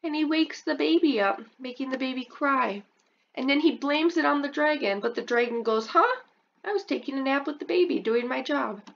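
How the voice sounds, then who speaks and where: A preschool teacher reading a picture book aloud to children, stopping often to ask questions about the pictures and pitching her voice up for the characters' lines. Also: expressive, moderate pace, gravelly, fairly bright tone, highs cut off.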